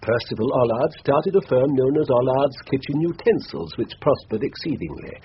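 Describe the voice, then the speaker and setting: Actor as precise elderly English solicitor, lively intonation. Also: male voice, medium pitch, moderate pace, natural voice. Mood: dry explaining. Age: older. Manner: formal, precise, dry. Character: elderly, dusty, stiff-collared solicitor, precise and formal. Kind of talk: radio drama acting. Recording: dull, noisy old radio recording.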